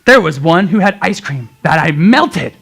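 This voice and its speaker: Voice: medium pitch, sing-song, a little slow, fairly bright tone, breathy edge, exaggerated voice. Someone playing a gloating, grumpy old tiger. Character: grumpy old male tiger who loves meanness. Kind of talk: children's Christmas puppet show.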